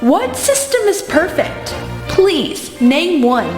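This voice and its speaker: A woman's voice: high-pitched, sing-song, slow pace, exaggerated voice. A woman playing a confident, defiant debater in a radio drama, recorded in an echoey hall with music. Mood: defiant, formal. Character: confident, defiant female debater defending monarchy. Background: loud music.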